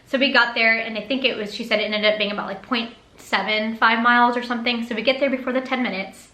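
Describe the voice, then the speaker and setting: Young female vlogger, high and bright, chatty storytelling style, roomy sound. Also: fast talker. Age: young adult. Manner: chatty, animated retelling with acted quotes. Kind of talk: casual story time vlog. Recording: roomy echo.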